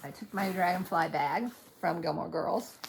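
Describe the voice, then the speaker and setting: A woman's voice: lowish voice, normal speed, gravelly, slight background noise. A middle-aged woman from Colorado with a Midwestern American accent, chatting casually as a needlework vlogger, rambling and often backtracking mid-thought. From Colorado, United States. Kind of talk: casual chatty vlog recap.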